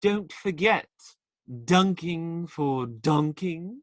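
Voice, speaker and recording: A man's voice: a little high, drawn-out words, no room sound. A man acting in a radio play as a cheeky, teasing outlaw given to playful, theatrical banter.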